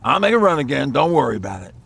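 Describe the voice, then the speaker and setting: Game role: haunted yet easygoing older male survivor, husky and lively in pitch, chatty storyteller. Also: rapid-fire, exaggerated voice. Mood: easygoing, concerned. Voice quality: gravelly, raspy. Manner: folksy, lighthearted, chatty. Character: folksy aging male survivor, lighthearted but haunted. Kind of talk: game voice acting, reminiscing dialogue.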